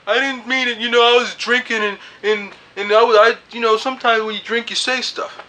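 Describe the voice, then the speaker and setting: Man playing a teary young woman, high falsetto, evasive and flustered. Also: steady tone, fairly quick, sharp tone.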